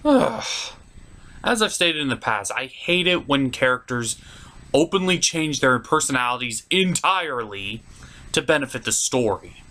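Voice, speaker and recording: Man ranting with a very animated voice. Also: mid-range voice, a little slow. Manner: exasperated, emphatic, sweary. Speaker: online cartoon reviewer and host. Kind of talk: ranting review commentary with profanity.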